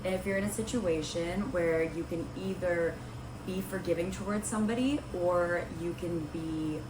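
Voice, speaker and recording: Slightly raspy, low-voiced young woman vlogging. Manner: chatty and rambling, many ums and likes. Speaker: host of a Bible study video series. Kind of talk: casual talking-to-camera vlog. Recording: roomy with clear background noise.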